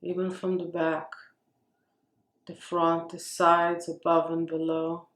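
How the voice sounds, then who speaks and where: A woman leading a guided meditation with gentle, unhurried phrasing and long pauses. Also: fairly low pitch, monotone. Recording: roomy, echoing space.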